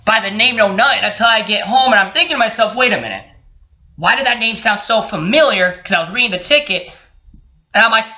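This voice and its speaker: Sharp-voiced, profane man ranting. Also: sits high. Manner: profane, indignant, sarcastic. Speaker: vlogger. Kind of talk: casual rant-like commentary while reading a report. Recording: dull lo-fi sound.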